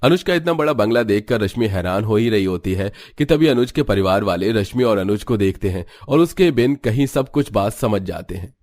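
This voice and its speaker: A man's voice: fairly low pitch, expressive, fairly quick, hardly any pauses, darkish tone. A man narrating a scripted story. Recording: music bed under voice. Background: background music.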